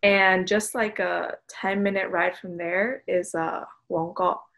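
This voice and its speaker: A woman's voice: medium pitch, fairly even intonation, takes it slowly, vocal fry, a bit bright. A woman from Miami, a guest on a casual podcast, speaking in an easygoing way with hesitant fillers. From Miami, United States.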